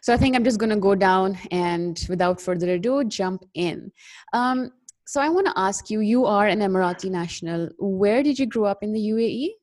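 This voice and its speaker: A woman hosting a podcast, reading a measured scripted intro before moving into upbeat interview questions. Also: medium pitch, a little mellow. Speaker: podcast host.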